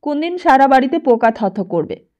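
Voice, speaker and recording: A woman narrator plays a dutiful household mother in a storybook. Her voice is fairly high, firm and reproachful, pressing with pointed questions. The sound is slightly dull. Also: big pitch swings, fairly quick, a little mellow. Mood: firm, chiding.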